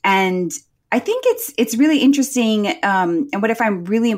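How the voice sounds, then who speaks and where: A woman's voice: fairly high pitch, animated, moderate pace, darkish tone. A woman from Hawaii, co-hosting a podcast and chatting casually and enthusiastically about book picks. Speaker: podcast co-host recommending books. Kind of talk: casual chat.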